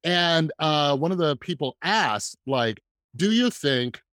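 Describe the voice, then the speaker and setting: A man's voice: fairly high pitch, expressive, slow pace, no echo. A man hosting a podcast in a casual, conversational interview style, talking energetically in loose, filler-heavy runs. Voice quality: loud voice. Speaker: podcast host.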